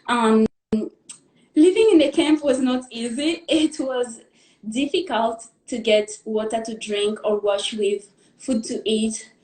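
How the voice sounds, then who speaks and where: A young woman from the Democratic Republic of the Congo tells her life story as a guest in a live interview, in an earnest, halting way, pausing to gather her thoughts. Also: on the high side, a little mellow, reverberant, rough recording. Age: young adult.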